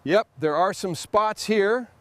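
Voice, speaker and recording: Higher-pitched man commentating over work noise. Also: takes it slowly, fairly bright tone. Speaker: restoration video narrator. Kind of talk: hands-on work commentary in short casual phrases.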